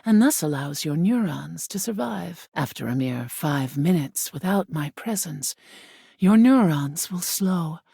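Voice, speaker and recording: A woman voicing an ancient, aloof female spirit of air in a video game, with a husky, breathy voice that often sinks to a whisper and a solemn, formal delivery. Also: low voice, animated, unhurried, warm tone, dry recording, clean studio sound, full character voice. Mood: cold, grandiose. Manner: languid, imperious, solemn, formal diction. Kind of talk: video game character acting.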